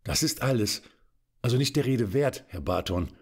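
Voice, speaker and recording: An actor playing an effeminate, war-obsessed Prussian count with an affected, aristocratic manner in an audio drama. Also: male voice, medium pitch, animated, normal speed, creaky, slightly rough. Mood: self-satisfied. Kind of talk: German audio drama acting.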